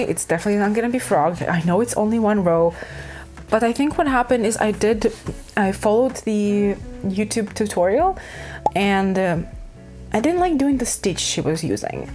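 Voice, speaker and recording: A woman's voice: mid-range voice, darkish tone. Woman from ukraine rambling about crafts at home, bouncy up and down voice, lots of um and like. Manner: chatty, rambling, with many fillers and restarts. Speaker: craft vlogger. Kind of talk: casual chat to camera.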